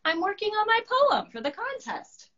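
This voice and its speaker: An excitable, proud dragon from a children's storybook, voiced by a woman. He exclaims theatrically in a high, swooping voice and likes to tease. The sound is slightly dull and lo-fi. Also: moderate pace, exaggerated voice. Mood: indignant. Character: excitable male dragon poet, proud and teasing.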